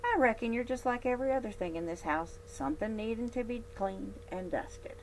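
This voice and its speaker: A woman voicing a brisk, dismissive household maid in a storybook, with a plainspoken, folksy manner. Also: on the high side, a little mellow, slight background noise, pitched above the performer's own voice, natural voice, low-quality recording.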